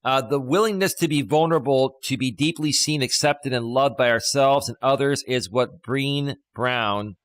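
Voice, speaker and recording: A man with a deep bass voice, a life coach hosting a casual live stream, reading passages aloud and riffing on them playfully, close on a studio mic. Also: moderate pace, hardly any pauses, no room sound. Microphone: studio dynamic microphone.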